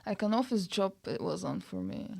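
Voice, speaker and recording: A woman's voice: mid-range voice, lively intonation, moderate pace, vocal fry, a little mellow, slightly rough. Young woman guest. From Bulgaria. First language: Bulgarian. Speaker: podcast guest. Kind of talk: casual interview chat.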